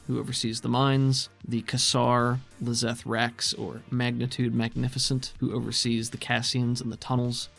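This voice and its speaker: A man runs a tabletop role-playing game with measured, descriptive narration and casual asides. His voice sits at a middle pitch, with soft music behind it. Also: vocal fry. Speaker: game master. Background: background music.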